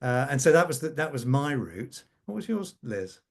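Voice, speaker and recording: Man, middle-aged or older, medium pitch, informal panel talk, anecdotal, halting with restarts. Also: very animated, gravelly. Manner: halting, repeats and restarts phrases. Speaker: radio drama scriptwriter on a panel. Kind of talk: informal anecdotal conversation at a conference.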